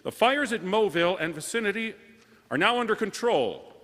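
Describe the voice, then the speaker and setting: An actor playing an earnest, flustered radio news announcer with an urgent, hesitant delivery in an old-time radio drama. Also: male voice, high voice, animated, moderate pace, a bit bright. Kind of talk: 1930s-style radio drama acting. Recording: long hall reverb.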